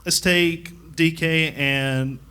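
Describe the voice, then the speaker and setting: Sports announcer guy asking a panel something, kinda high bright voice, casual. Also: fairly even intonation, extremely slow, smooth. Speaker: high school sports announcer, audience questioner. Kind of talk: casual audience question.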